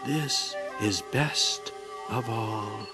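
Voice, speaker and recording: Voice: mid-range voice, drawn-out words, voice pushed down. A narrator voicing a discontented, wishful lantern maker in a folktale, with theatrical exclamations. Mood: contented. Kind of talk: storybook character voice. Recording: music behind the voice.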